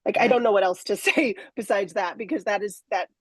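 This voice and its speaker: A woman in her forties speaking candidly in an interview, reflective and halting, with many fillers and restarts. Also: medium pitch, slightly rough, no room sound. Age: 40s. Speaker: coaching client.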